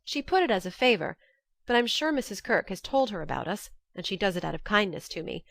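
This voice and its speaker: A woman's voice: mid-range voice, big pitch swings, fairly quick, vocal fry, a bit bright, dry recording, studio quality. A woman narrating an audiobook, reading a classic novel's first-person letters as scripted narration.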